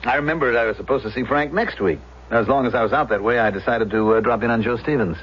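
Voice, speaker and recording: Male actor as mild evasive fellow, polite, hesitant, medium pitch, radio drama, muffled recording. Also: fast, close to an everyday voice. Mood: casually evasive. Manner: polite, hesitant, smooth-talking. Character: outwardly mild, evasive husband and secret firebug. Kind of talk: 1970s radio drama dialogue. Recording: slightly noisy, dull vintage radio.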